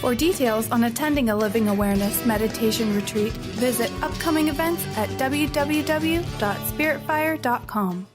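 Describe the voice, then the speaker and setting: Female radio spot announcer, high voice, scripted promotional read over a music bed. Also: normal speed, hardly any pauses.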